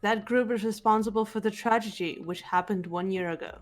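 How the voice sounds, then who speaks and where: A woman voicing a cool, serious teenage girl detective in a visual novel game, measured and matter-of-fact. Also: mid-range voice, faint music behind, natural voice. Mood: composed, serious. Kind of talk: visual novel character reading.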